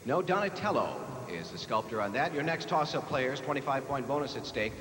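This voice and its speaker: A man's voice: mid-range voice, animated, a little fast, a crowd in the background. A man with an American accent hosting a live quiz show, reading out questions to the players. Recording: echoey hall, noisy old TV broadcast.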